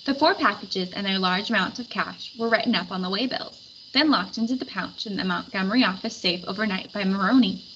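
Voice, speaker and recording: A woman reading a story aloud as narrator, with expressive scripted delivery. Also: mid-range voice, a little fast, few pauses. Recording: dull lo-fi recording with background noise.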